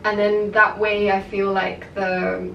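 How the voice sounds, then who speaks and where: A woman's voice: mid-range voice, flat delivery, slow pace, vocal fry, dull audio. Chatty young woman, roomy echo. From half Japanese, raised in the UK. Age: young adult. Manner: chatty, many "like" fillers, candid. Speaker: vlogger. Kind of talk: casual chat to camera. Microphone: far camera mic. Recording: echoey room, distant mic, noisy.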